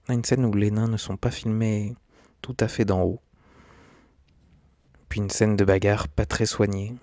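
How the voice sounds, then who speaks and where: A man's voice: lowish voice, level tone, moderate pace, warm tone, no room sound, studio quality. A man giving scripted, documentary-style narration as a video essayist.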